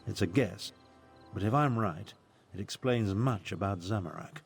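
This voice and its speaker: A man's voice: fairly low pitch, vocal fry, soft background music. Haughty, touchy male spellcaster. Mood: grave, explanatory. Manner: haughty, formal, deliberate. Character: proud, touchy, dry-witted male spellcaster game character.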